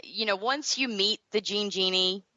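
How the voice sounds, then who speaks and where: A woman's voice: medium pitch, moderate pace, sharp tone, no room sound. A woman from the American South chatting casually as an interview guest, talking like a gushing fan. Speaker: podcast guest, creator. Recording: dull, lo-fi, dry line.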